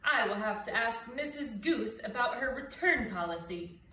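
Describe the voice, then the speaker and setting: A woman's voice: fairly high pitch, animated, normal speed, pushed and bright, reverberant, distant mic. Woman reading as a stern, grumpy storybook bear. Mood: dry, demanding. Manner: stern, blunt, grumbling.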